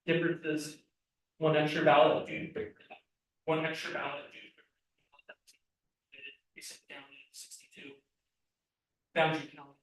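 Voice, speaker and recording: Guy reading election numbers at a meeting, lots of ums, flat monotone, super echoey, far from the mic. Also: a little high. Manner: reads figures in a halting way, with frequent ums and restarts. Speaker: state election audit official presenting results. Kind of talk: reading audit results at a public meeting. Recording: very echoey room, picked up from far off.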